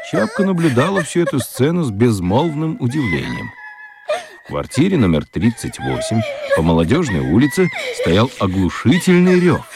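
Low, animated male storyteller. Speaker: narrator. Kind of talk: expressive scripted storytelling for a children's radio play.

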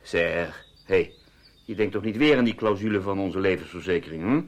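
An actor in a radio drama playing a nervy, suspicious husband with an insistent, chattering manner. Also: male voice, low voice, brisk. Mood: uneasy. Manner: chattering, insistent, seeks agreement with tags. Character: nervy, suspicious husband, falsely hearty, quick to accuse.

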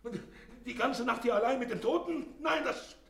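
A man's voice: extremely high pitch, normal speed, a bit bright. An actor in a radio play as a guilty man in panic, stammering and muttering to himself in agitation. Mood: panicked. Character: panicked guilty man alone at night with a corpse. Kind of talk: German radio play acting.

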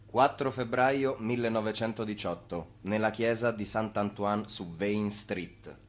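An actor playing a skeptical narrator in a radio drama, addressing characters in an insinuating, questioning way. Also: male voice, fairly low pitch, unhurried, lightly acted. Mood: factual.

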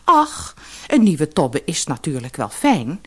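A woman's voice: low voice, huge pitch swings, takes it slowly, a bit bright, breathy edge, full character voice. A woman voicing a demanding, never-satisfied fisherman's wife with haughty airs in a fairy-tale audiobook. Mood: dissatisfied. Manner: imperious, complaining.

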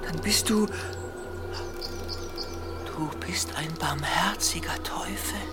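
A man playing a fanatical, frightened medieval monk in a radio drama, halting and agitated. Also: a little high, music in the background. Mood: pleading. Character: fearful, fanatical medieval monk, haunted and pleading. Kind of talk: German radio drama acting.

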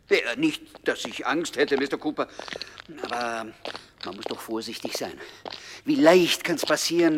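Ein Schauspieler spielt einen ängstlichen, abergläubischen kleinen Gangster in einer Krimikomödie im Radio, nervös, zögernd und unterwürfig. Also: male voice, fairly high pitch, close to an everyday voice. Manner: nervous, hesitant, obsequious, whispering at times. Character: timid, fearful little male gangster, anxious and superstitious. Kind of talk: 1960s German radio crime comedy acting. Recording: faintly noisy 1960s radio recording.

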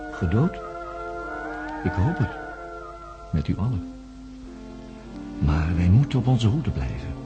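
An actor playing a reflective man who studies the human mind, narrating a radio play in a solemn, contemplative way with dramatic pauses. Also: fairly low pitch. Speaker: narrator recounting events.

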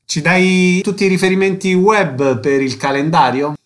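A man's voice: sits high, fairly even intonation, moderate pace. A male radio host presenting guests and putting short questions to them in an interview style.

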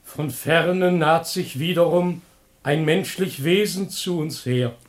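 A man's voice: on the high side, takes it slowly. A man in a German radio drama playing a solemn Greek chorus figure, declaiming ceremoniously.

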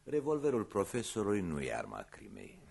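A man's voice: sits low, huge pitch swings, creaky, a little mellow, husky, heavily acted. An actor playing a shrewd, methodical police commissioner in a radio play, probing his witnesses with courteous care.

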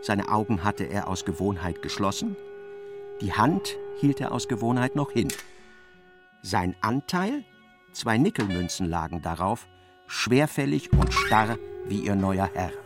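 An actor narrating a literary radio play, an expressive and ironic storyteller. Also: male voice, lowish voice, unhurried, over music. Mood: wry narration. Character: wry literary narrator of a novel, male.

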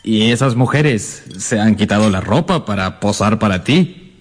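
A man's voice: fairly low pitch, lively intonation, a little slow, in a large hall. A man acting in a radio drama as a mild, naive young husband, polite and eager. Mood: curious.

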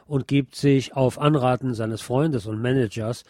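A man narrates a scripted film review in a mellow voice of medium pitch. The recording is dry and close, with no room sound. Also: steady tone, unhurried, no room sound.

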